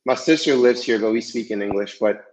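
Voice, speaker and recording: A man guest in a casual podcast interview, talking in a relaxed, hesitant way with many fillers, French his first language. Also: lowish voice, brisk. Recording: dull lo-fi sound.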